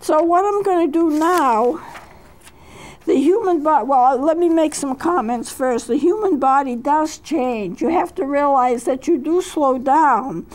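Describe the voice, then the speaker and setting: High-voiced woman in her eighties. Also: a little mellow, poor audio. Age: 80s. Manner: unhurried, with frequent fillers and restarts. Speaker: counselor and show host. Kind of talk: reflective talk with read-aloud poetry.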